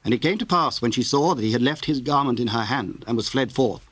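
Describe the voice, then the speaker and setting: A man narrating scripture in a solemn, measured, formal way, reading from a script. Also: mid-range voice, fairly bright tone.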